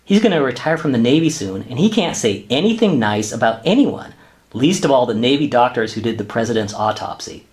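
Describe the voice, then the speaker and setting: An actor playing a hesitant, exasperated government office worker and husband in a sitcom-style radio play, with a wry manner. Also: male voice, mid-range voice, big pitch swings, brisk, darkish tone, close to an everyday voice. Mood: irritated. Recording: roomy, echoey sound.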